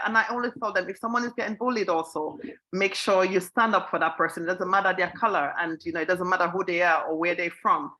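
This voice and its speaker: A woman's voice: medium pitch, pushed and bright, low-quality recording. A woman from Sierra Leone, raised in England, speaking earnestly on a community panel and often repeating herself for emphasis. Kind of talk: panel discussion answer.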